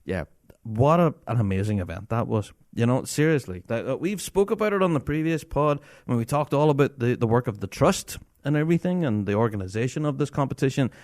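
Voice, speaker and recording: A man hosts his own podcast, talking enthusiastically and breaking into laughter often. His voice moves around a lot in pitch and stays very animated. The recording is dry and close. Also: fairly quick, dry recording. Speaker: podcast host. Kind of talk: casual solo podcast talk.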